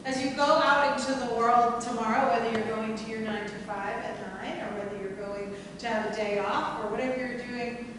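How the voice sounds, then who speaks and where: A woman's voice: fairly even intonation, a little fast, crowd noise. A woman moderator making informal closing remarks at a theatre talkback. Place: large echoey hall at an arts centre. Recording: very echoey hall, distant mic, loud rumbling noise.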